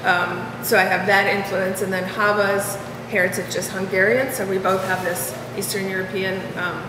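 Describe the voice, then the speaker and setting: Woman, deli co-owner, halting restarts, distant mic, long reverb. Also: mid-range voice, little pitch movement. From Russia. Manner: warm, halting, with many restarts. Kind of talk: interview answers. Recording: echoey hall, mic far off, noisy.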